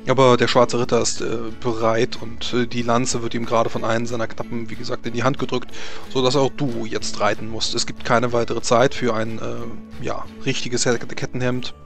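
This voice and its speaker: A man's voice: medium pitch, little pitch movement, moderate pace, creaky, few pauses, fairly bright tone. A man narrating and refereeing a tabletop roleplaying game as game master. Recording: music playing behind the voice. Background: music.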